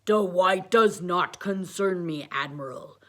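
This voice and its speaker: An imperious little villain puppet from a children's book, put on by a woman reader. The delivery is menacing and pompous. Words come slowly and clipped, like orders. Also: lowish voice, a bit bright. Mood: imperious menace.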